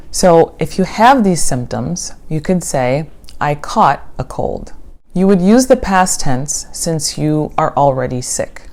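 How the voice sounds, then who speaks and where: A woman with an American accent teaches English phrases in a calm, deliberate way. Her voice sits low for a woman, and she spells out each example slowly and clearly. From United States. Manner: slow, clear teaching delivery with example sentences. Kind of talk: scripted English lesson.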